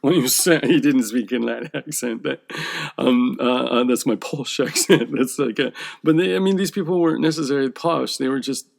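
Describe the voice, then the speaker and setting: A man's voice: medium pitch, normal speed, vocal fry. A man who draws comic books, talking in a casual, rambling monologue that often checks in with "okay?". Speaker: comic book artist.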